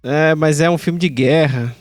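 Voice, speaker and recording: A man's voice: a little high, a little slow, dry recording, faint music behind. A man with a Brazilian accent hosting a casual podcast chat in an informal, slangy way, with some swearing. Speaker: podcast host.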